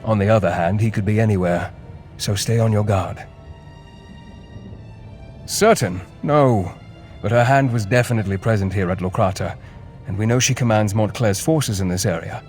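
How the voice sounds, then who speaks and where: A man's voice: on the low side, normal speed, darkish tone, faint music behind, natural voice. An actor playing a learned male scholar who gives orders in a formal, curt and impatient way.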